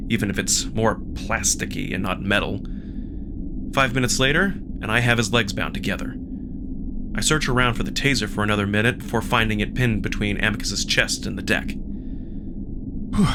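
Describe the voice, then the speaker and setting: A man reading first-person fiction as a narrator, in an expressive, dramatic storytelling style. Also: lowish voice, background noise. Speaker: narrator and performer of a visual novel. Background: music.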